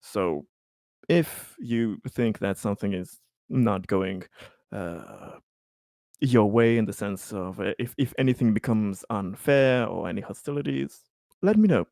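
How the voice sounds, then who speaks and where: A man's voice: lowish voice, lively intonation, unhurried, creaky, darkish tone, dry recording, clean studio sound. A man playing a hesitant, apologetic colony boss in a tabletop roleplaying game, stammering as he tries to sound earnest but comes off a little shifty. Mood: supportive, earnest. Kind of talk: improvised tabletop roleplay dialogue.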